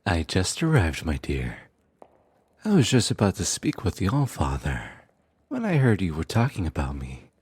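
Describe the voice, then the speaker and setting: A man acts out a scheming trickster god in a fantasy audio drama. His voice is deep and a touch rough, recorded dry and close, with lively swoops as he teases with unhurried, theatrical politeness. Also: no echo, full character voice. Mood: smooth, teasing. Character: sly, teasing trickster god, smooth-talking schemer. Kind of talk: audio roleplay acting.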